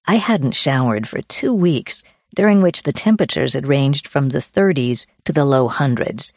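A woman's voice: extremely low pitch, lively intonation, normal speed, darkish tone, no room sound, studio quality. A woman reading a first-person memoir as a scripted audiobook narration.

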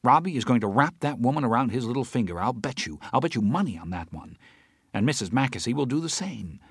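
An exasperated, sarcastic woman in an audiobook, as a male narrator raises his voice to play her. She talks in heated, scornful rants, and her pitch lifts well above his own low register. The sound is close and dry. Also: animated, breathy edge, dry recording. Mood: indignant. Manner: indignant, rapid sarcastic rants. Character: agitated outspoken adult woman friend. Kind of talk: audiobook character voice.

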